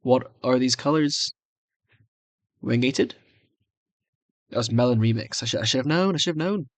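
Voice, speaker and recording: A young man giving casual, hesitant, rambling commentary as he tries out software on screen, often muttering reactions to himself. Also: medium pitch, lively intonation, moderate pace, no echo. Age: young adult. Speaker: video creator. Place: small room indoors.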